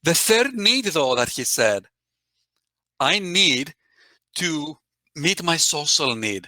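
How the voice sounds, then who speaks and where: Bright-voiced man giving a keynote. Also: fairly high pitch, takes it slowly, no echo. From Greece. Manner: earnest and animated, often tags "right?" and addresses listeners by name. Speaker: keynote speaker. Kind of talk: interactive online keynote talk.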